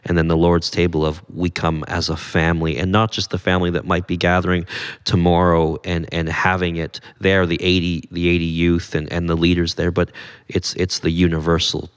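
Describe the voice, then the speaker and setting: A man's voice: sits low, monotone, normal speed, smooth. A man speaking as a guest on a podcast in casual chat, earnest and sincere, often repeating words as he works out his thoughts.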